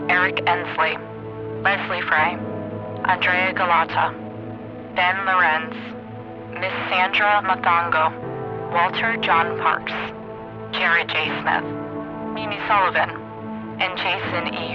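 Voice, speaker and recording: A man with a high-pitched voice reads scripted credits as an announcer. The sound is slightly dull, and music plays clearly behind him. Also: fairly even intonation, slow pace, long pauses. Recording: dull band-limited sound under music.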